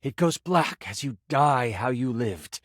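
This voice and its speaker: A man voicing an excitable, nerdy game master, narrating tabletop fantasy dramatically and dropping into casual, hesitant asides. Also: medium pitch, unhurried, no room sound, studio quality. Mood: hushed suspense. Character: living D20 die, excitable nerdy male game master.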